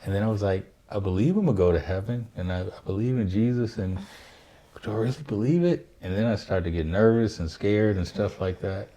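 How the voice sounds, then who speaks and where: A man in his fifties, a father hosting a family podcast, telling a reflective story in an unhurried way with fillers. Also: on the low side, mellow. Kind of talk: casual family podcast chat.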